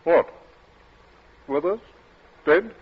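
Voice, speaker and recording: Slow, halting, flustered gentleman. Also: a little high, expressive, slightly rough, full character voice. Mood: bewildered. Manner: halting, mumbling, stammering hesitancy. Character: timid, flustered gentleman cornered by a killer. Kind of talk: old-time radio thriller acting. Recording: muffled, noisy vintage radio recording.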